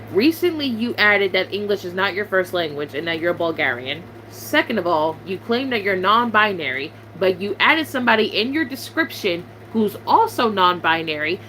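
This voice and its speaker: A woman's voice: medium pitch, fairly bright tone, slight background noise, low-quality recording. A young woman YouTuber, venting bluntly and sarcastically to her viewers in a casual chat.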